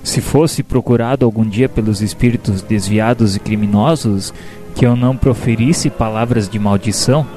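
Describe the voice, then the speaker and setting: A man playing an earnest, humble spirit apprentice who tells his story in solemn, formal, gentle narration for a radio drama. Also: on the low side, moderate pace, close to an everyday voice. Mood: solemn, reflective. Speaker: narrator and protagonist. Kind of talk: dramatized first-person scripted narration. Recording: soft music behind narration.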